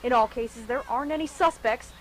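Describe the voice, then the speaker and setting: A female TV reporter delivers a scripted local story. Her voice is on the high side, with faint noise behind it. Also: a little fast. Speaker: TV news reporter. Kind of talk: scripted field report narration.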